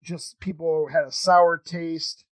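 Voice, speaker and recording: A man with a slightly high voice chats casually as a podcast host. He rambles and backs up as he thinks aloud. The sound is dry and close, with little room on it. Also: little pitch movement, slow pace, dry recording. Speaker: podcast host interviewing a guest. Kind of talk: casual chat.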